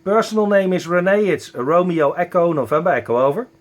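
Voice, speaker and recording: A man with a Dutch accent working as an amateur radio operator, enthusiastic but halting, spelling out callsigns at a close microphone. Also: sits high, lively intonation. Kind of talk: ham radio contact, spelling callsigns phonetically. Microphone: close broadcast dynamic microphone.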